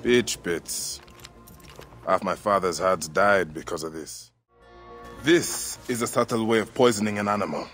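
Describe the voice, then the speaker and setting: An actor playing a brooding, grief-haunted Egyptian warrior in a video game, earnest and wryly understated. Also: male voice, on the low side, measured pace, a bit bright, faint music behind. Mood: weary, wry. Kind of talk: video game cutscene acting.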